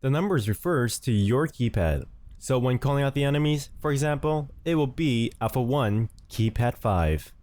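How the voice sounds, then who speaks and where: A man's voice: medium pitch, expressive, moderate pace, a little mellow. A man giving scripted instructional narration as the host of a tutorial, speaking close to the mic.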